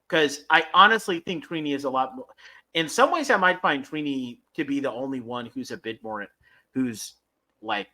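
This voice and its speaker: A man co-hosting a casual fan review podcast, talking in a rambling, halting and enthusiastic way. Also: medium pitch, sharp tone. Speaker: podcast co-host.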